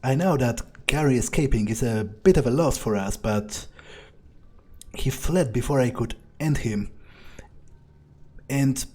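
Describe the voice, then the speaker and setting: A man roleplaying a blunt rookie vampire in a video game, with a husky voice and a measured, hesitant, conversational manner. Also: medium pitch, darkish tone. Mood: defensive. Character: male rookie vampire turncoat, blunt, self-justifying.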